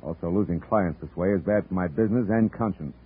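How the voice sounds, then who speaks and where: An actor playing a dry, wisecracking hard-boiled private detective who also narrates, in a 1950s radio drama. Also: male voice, sits low, fast talker, slightly rough. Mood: wry. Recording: tinny old radio broadcast, faint music.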